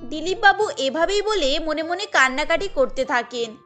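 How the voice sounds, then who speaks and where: High-voiced woman narrating a story. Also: moderate pace, faint music behind. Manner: steady storytelling.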